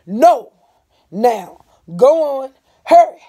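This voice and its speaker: A woman's voice: sits high, animated, very slow, mellow, voice pushed up, exaggerated voice. A woman voicing an earnest older brother, a boy in a storybook, speaking emphatically and insistently. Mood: urgent, adamant.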